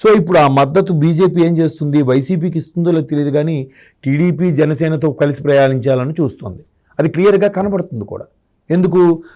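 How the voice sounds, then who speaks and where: A man's voice: fairly high pitch, a little fast, warm tone. A man giving political commentary in a discussion, arguing his points through rhetorical questions. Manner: argumentative, asks rhetorical questions.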